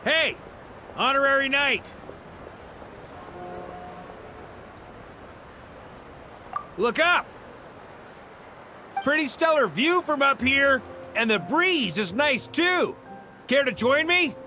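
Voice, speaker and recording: An actor playing a relaxed, friendly knight commander who talks in a reflective way. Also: male voice, extremely high pitch, slow, pauses often, full character voice. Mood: cheerful. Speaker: knight back from a long expedition. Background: soft game music.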